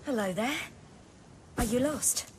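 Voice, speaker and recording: An actress voicing a calm, protective young swordswoman in a fantasy game, gentle but firm. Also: fairly high pitch, slow pace, slight background noise. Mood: gentle, probing. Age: young adult.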